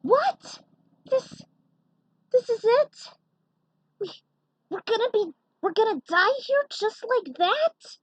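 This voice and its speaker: Casting: woman as excitable young adventure hero, very high, dramatic, exclamatory amateur storytelling read. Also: slow, pauses often, no room sound, full character voice. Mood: panicked. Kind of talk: amateur character acting in storytelling.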